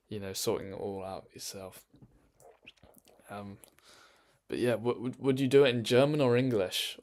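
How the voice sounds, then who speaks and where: A man chatting casually on a live stream from his bedroom, rambling with lots of ums and restarts. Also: lowish voice.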